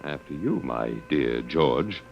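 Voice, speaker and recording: An actor playing a dry, sardonic middle-aged academic with an American accent in an old radio science-fiction drama, lecturing with slight hesitations. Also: male voice, very deep voice, expressive, slow, vocal fry, exaggerated voice. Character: wry, detached academic man, last human male. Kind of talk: 1950s radio drama acting. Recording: dull, noisy old radio recording.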